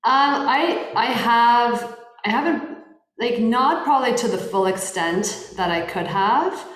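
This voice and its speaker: A woman's voice: on the high side, lively intonation, a bit bright. A woman in her thirties from Poland, a lawyer guest talking thoughtfully in an interview, often restarting her sentences. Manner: thoughtful, measured, frequent restarts. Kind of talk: interview conversation. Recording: roomy echo.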